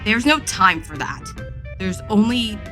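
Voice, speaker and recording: A woman's voice: mid-range voice, expressive, over music, close to an everyday voice. A woman playing a solemn, knowing mystical guide who speaks firmly and earnestly in an audio drama. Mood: grave. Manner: measured, firm, earnest.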